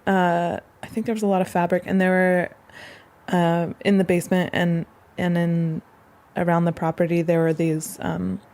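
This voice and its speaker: A woman's voice: lowish voice, little pitch movement, normal speed, a little mellow. A woman casually telling stories in an interview as a resident. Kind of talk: casual anecdotal interview talk.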